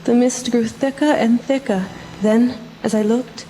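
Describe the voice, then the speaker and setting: A woman's voice: fairly high pitch, steady tone, a little hiss or hum, natural voice. An actress playing a haunted young woman entranced by a vampire, speaking with dreamy solemnity in a radio drama. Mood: brooding. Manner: slow, hushed, dreamy solemnity. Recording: radio play with music underneath.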